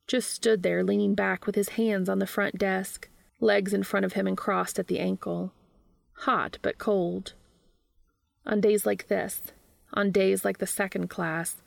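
A woman's voice: mid-range voice, creaky, no echo, studio quality. A woman reading a story aloud as scripted narration. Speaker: audiobook reader of fan fiction.

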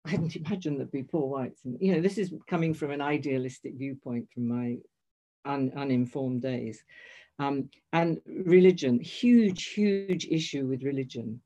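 A woman's voice: very deep voice, normal speed, mellow, dull audio. An older woman telling a long personal story in conversation, hesitant, restarting and correcting herself often. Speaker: guest.

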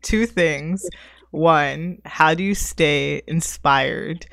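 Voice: medium pitch, drawn-out words, vocal fry, fairly bright tone, no room sound. An informal podcast host with a mid-range voice chats with guests in a casual, slangy style. The sound is close and dry, with very little room around it. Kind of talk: casual interview.

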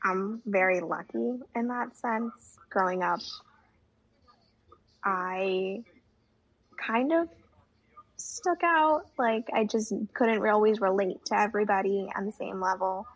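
Gravelly, husky young woman, chatty. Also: medium pitch, takes it slowly, long pauses. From United States. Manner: chatty, many fillers like "like" and "um". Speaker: guest. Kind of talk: casual conversation. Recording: dull lo-fi audio.

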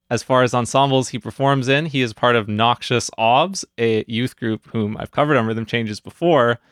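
An upbeat man hosts an interview podcast from a close mic in a dry, dead room. His pitch sits in the middle for a man, and he delivers his scripted lines with easy energy. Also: a bit bright, no echo. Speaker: podcast host.

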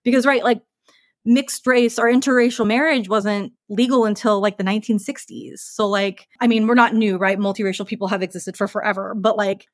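A woman's voice: medium pitch, steady tone, brisk, no room sound, studio quality. A woman in her thirties, a podcast guest, speaking casually and thoughtfully with frequent "like" and "right?" fillers. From United States.

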